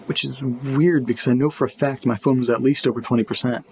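Actor as jittery male horror-podcast diarist, hushed, fry-laden voice, informal delivery, faint night-ambience noise. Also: mid-range voice, fairly even intonation, fast, lightly acted. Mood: uneasy, apologetic. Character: jittery, foul-mouthed man recording a late-night spooky audio diary. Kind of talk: confessional audio-diary horror fiction, casual.

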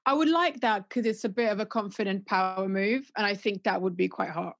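A woman's voice: medium pitch, brisk. A woman chatting casually, teasing and enthusiastic. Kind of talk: casual chat.

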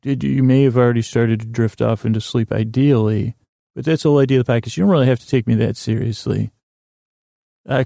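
A man hosting a bedtime podcast, talking in a halting, meandering, self-deprecating monologue. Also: medium pitch, vocal fry, warm tone, no room sound, clean studio sound. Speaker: podcast host.

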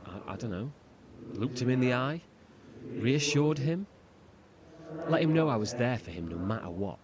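A man's voice: mid-range voice, animated, moderate pace, close to an everyday voice. Troubled, faltering man played by an actor, noisy background. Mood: lost, unsure. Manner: hesitant, faltering, emotional. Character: troubled, gentle man trapped in darkness, longing for family. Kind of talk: horror audio drama acting.

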